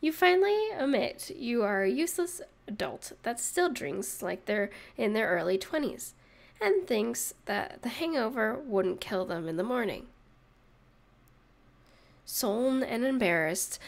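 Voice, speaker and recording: A woman's voice: a little high, big pitch swings, measured pace, creaky, full character voice. A woman playing a sarcastic narrator in a comedic audio roleplay, teasing and mock-sympathetic as she mocks a listener. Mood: condescending. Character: sarcastic, mocking narrator gleefully needling a hungover catgirl. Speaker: voice actress.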